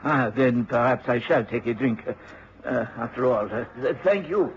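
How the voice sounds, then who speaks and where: An actor playing a reserved foreign gentleman in a radio drama, with a foreign accent and a hesitant, stiffly polite manner. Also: medium pitch, moderate pace, slight background noise, close to an everyday voice. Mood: guarded, polite. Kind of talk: mid-century radio drama acting. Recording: dull old radio recording with background voices.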